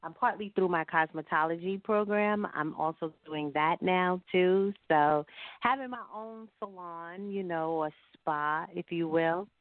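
A woman's voice: lowish voice, measured pace, no echo. A woman chatting casually as an interview guest, speaking emphatically and repeating phrases to drive a point home. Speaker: singer and entrepreneur, interview guest. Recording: thin telephone line.

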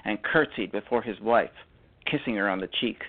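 A man reading literary narration for a radio drama, halting and often repeating words. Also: fairly low pitch, narrow, tinny audio.

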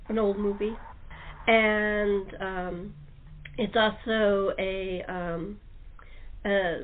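A woman hosting a casual radio chat, rambling and often restarting her sentences as she goes. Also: mid-range voice. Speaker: radio show host. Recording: muffled narrow-band call-in audio.

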